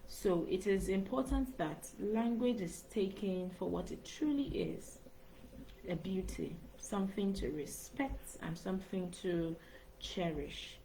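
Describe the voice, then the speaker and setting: A woman's voice: medium pitch, animated, a little slow, dark tone, slight background noise, low-quality recording. A woman, a speaking coach talking live into her laptop microphone in an earnest way and repeating phrases for emphasis. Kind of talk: live-stream monologue.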